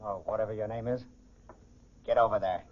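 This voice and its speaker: An actor playing a wary, resentful getaway driver with slangy tough talk in a 1940s radio crime drama. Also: male voice, lowish voice, steady tone, a little fast, close to an everyday voice. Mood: bitter, gloating. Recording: dull lo-fi 1940s radio recording.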